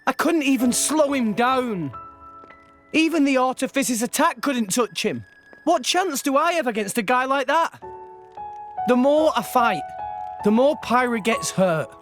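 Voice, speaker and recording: A man's voice: extremely high pitch, animated, measured pace, fairly bright tone, faint music behind, full character voice. A man voicing an earnest, self-doubting teenage boy hero in a video game. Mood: desperate.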